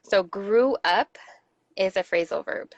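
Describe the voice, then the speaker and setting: A woman's voice: mid-range voice, slow pace, no room sound, dull audio. A woman from Los Angeles with an American accent, a guest teacher patiently explaining English phrases. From Los Angeles, California, USA.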